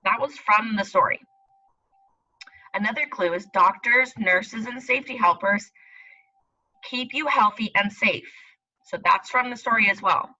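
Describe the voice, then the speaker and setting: Bright-voiced woman teaching young children. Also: fairly high pitch, unhurried, pauses often, highs cut off. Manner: instructional, explaining step by step, addressing the children directly. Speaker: elementary school teacher. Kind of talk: read-aloud reading lesson for young children.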